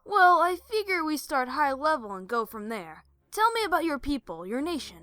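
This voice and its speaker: A woman plays a brash pegasus mare adventurer in a fan audio drama. Her voice is high and bright, swinging with cocky energy between sweet flirting and smug scheming asides. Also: big pitch swings, normal speed, big-room sound, heavily acted.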